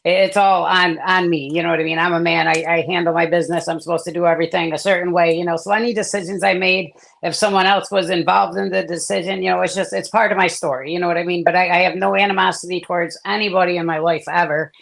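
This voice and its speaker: A man with a gravelly, fry-laden voice tells his story in a casual, meandering way, circling back on himself with fillers. He was born in South Korea and raised in the US, and is speaking as a guest on a live stream. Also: sits high, flat delivery, quick pace, hardly any pauses, a bit bright. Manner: rambling, many fillers and restarts.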